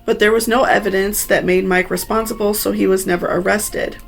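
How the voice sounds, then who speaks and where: A woman's voice: mid-range voice, steady tone, fairly quick, vocal fry, faint music behind. A woman hosting a true crime story, reading scripted narration with personal asides in an earnest, sympathetic, cautionary way.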